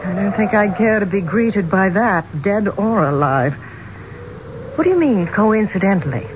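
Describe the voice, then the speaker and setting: A poised, inquisitive woman played by an actress, polite with a teasing streak. Her delivery is very expressive and swings in pitch, on a muffled 1970s radio drama recording with faint hiss. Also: normal speed, lightly acted. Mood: uneasy, fascinated.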